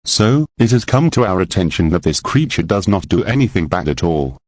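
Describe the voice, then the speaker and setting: Man as TV alert announcer, low voice, formal, official, impersonal, strongly varied intonation. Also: sing-song, brisk, dry recording. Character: formal male television national alert announcer. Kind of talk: scripted emergency news bulletin.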